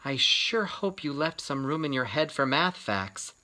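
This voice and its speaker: A helpful big sister in a children's storybook, read by a man. She sounds matter-of-fact and instructive. Her delivery is animated and bright. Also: fairly high pitch, unhurried, exaggerated voice.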